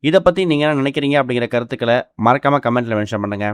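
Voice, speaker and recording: A man's voice: medium pitch, rapid-fire, smooth, dry recording. A man reading a scripted entertainment news voice-over as narrator.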